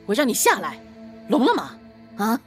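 A woman playing a moody young gentleman official in a Chinese period radio drama, grumbling and imperious. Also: fairly high pitch, huge pitch swings, moderate pace, soft background music. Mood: angry, commanding.

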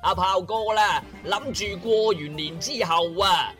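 A man with a Cantonese accent from Guangdong narrates a comic audio drama, animated and wry, stretching phrases and adding interjections. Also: high-pitched, slow pace, faint music behind. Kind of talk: dramatic comic scripted storytelling.

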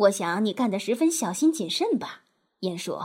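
Woman voicing a meek, lower-toned water rat. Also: big pitch swings, normal speed, slightly rough. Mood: anxious. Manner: soft, hesitant. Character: meek, anxious, fussy male water rat in storybook.

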